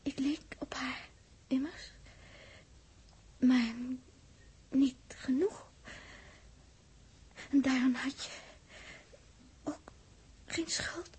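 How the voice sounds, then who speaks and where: An actress playing a gentle, dying young woman in a 1960s Dutch radio play, faint and halting. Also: high voice, steady tone, husky, heavily acted. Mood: weak, forgiving. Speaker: radio drama actor. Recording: 1960s radio drama recording.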